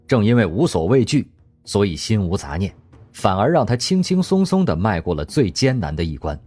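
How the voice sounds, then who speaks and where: A male radio drama narrator with a husky, slightly low voice, telling the story expressively. His pitch rises and falls in lively, animated swings. Soft music plays under his narration. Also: moderate pace.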